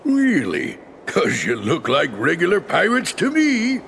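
A man voicing a big, bumbling cartoon bully with a gravelly, blustering delivery and folksy, uneducated grammar in a video game. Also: fairly high pitch, wildly swooping pitch, unhurried, a bit bright, a little hiss or hum, exaggerated voice. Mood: scheming. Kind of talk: cartoon villain game acting. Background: game music.

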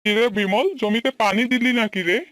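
A man's voice: high voice, steady tone, fairly quick, bright, forceful tone, no echo, studio quality. A man voicing a friendly, familiar, brotherly village tea-shop owner in a cartoon. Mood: familiar, caring. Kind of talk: Bengali cartoon dialogue dubbing.

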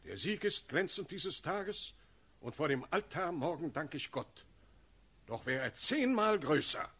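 A commanding, unbending ruler in a classical radio play. His husky, gravelly voice sits fairly high for a man and rises and falls dramatically as he pronounces judgment. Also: big pitch swings, a little slow, a bit bright, full character voice. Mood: stern. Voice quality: husky, creaky. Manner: declamatory, authoritative verse delivery. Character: stern, commanding male ruler, an Elector passing judgment.

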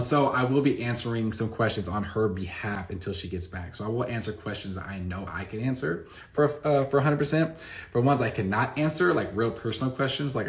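A man in his thirties chatting casually to camera, answering viewer questions with lots of fillers and restarts, recorded close on a phone in a bright room at home. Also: on the low side. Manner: rambling, restarts often, many "like" and "um" fillers.

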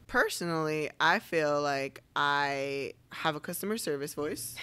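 A young woman speaking casually and assertively as a podcast guest, choosing her words deliberately. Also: low-pitched, a bit bright, smooth. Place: living room couch, indoors. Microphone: podcast microphone on boom arm.

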